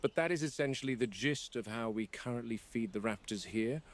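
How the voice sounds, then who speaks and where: A man's voice: mid-range voice, lightly acted. A man playing a grave, formal game warden. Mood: measured, grave. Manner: formal, objective, deliberate. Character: measured, serious male game warden documenting dangerous predators. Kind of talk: scripted log narration, found-footage horror film.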